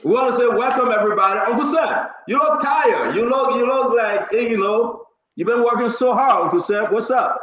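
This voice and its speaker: Echoey, slightly muffled sound, Liberian man in his forties, fairly high voice, casual talk show host, repetitive emphatic phrasing. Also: lively intonation, fairly quick, few pauses, a bit bright. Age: 40s.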